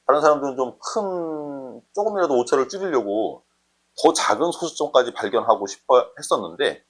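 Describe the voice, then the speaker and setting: A man's voice: mid-range voice, lively intonation, moderate pace, breathy edge. A man teaching math informally, thinking aloud in a conversational way and often checking understanding with short questions.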